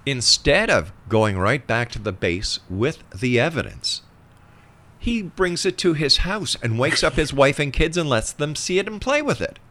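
A man's voice: medium pitch, sing-song, measured pace, pushed and bright. A man hosting a talk interview, putting probing questions emphatically and conversationally to a guest he addresses as a friend. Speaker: interview show host.

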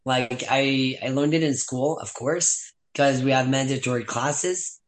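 A man's voice: mid-range voice, unhurried. A young man from Quebec with a French-Canadian accent, chatting casually as a guest, full of "like" fillers. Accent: Québécois French. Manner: rambling, many "like" fillers, laughs often. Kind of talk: casual chat.

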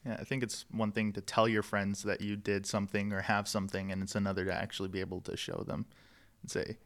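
Easygoing American man, lowish voice. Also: flat delivery, fast talker. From United States. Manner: easygoing, laughs between remarks. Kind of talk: casual chat.

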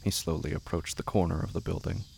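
A man giving a scripted, dramatic narration of a horror story in a deliberate, intense manner. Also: low-pitched, steady tone, vocal fry, a little mellow, slight background noise. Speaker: narrator of a horror fiction podcast.

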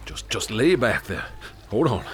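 An actor playing a weary, decent police inspector in a radio drama, with a halting, folksy manner. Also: male voice, fairly low pitch, big pitch swings, noisy background. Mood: urgent, caring.

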